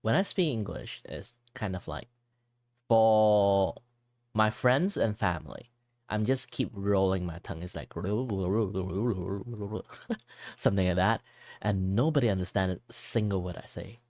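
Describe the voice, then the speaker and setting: A man's voice: fairly low pitch, animated, slow, darkish tone, no room sound. A young man from Malaysia chatting casually while drawing on stream, rambling with lots of fillers and restarts and switching at will into Malaysian English. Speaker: art streamer.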